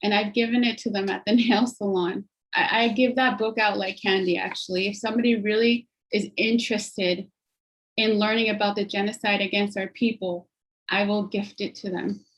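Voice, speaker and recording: A woman's voice: mid-range voice, fairly even intonation, normal speed, poor audio. A woman giving an earnest personal account at an online panel, talking conversationally over a video call. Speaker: guest speaker.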